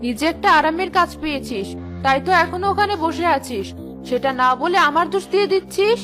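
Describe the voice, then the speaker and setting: A woman's voice: very high voice, expressive, a little fast, music in the background. A woman voicing a spiteful, scolding village aunt in a cartoon, with a nagging, scornful manner. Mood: indignant. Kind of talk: Bengali cartoon voice acting.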